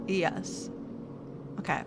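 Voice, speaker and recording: A woman's voice: drawn-out words, background noise. A woman streaming a game, chatty and playful, often talking to herself. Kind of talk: playing a game live. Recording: game music loud under voice.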